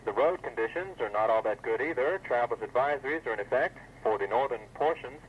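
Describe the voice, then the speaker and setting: A man's voice: medium pitch, lively intonation, a little fast. A man reading a scripted weather forecast as an announcer on an old weather radio broadcast. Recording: muffled, noisy 1980s weather radio broadcast.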